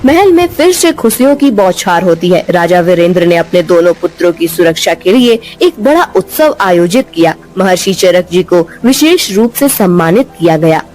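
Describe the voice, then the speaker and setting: A woman narrating a dubbed cartoon moral story in a steady storybook manner. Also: on the low side, moderate pace, few pauses, soft background music, poor audio.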